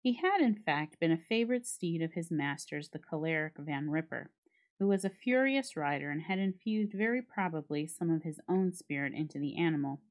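A woman giving a dramatic reading of a classic story, with measured, expressive storytelling. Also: low voice. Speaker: library staff reader.

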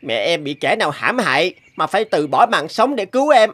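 A woman voicing an earnest, wounded young orphan demon in an animated fairy tale, pleading and plaintive. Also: fairly low pitch, a little fast, slightly rough, full character voice.

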